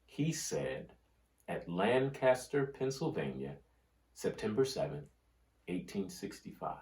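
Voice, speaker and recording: A man with a fairly low voice reads historical prose aloud very slowly. His delivery is solemn and oratorical, with deliberate pauses between phrases. The sound is roomy, with a clear echo around the voice. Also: big pitch swings, darkish tone. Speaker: reader of a history book. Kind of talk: scripted dramatic reading of history and quoted oratory. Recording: roomy, echoing room sound.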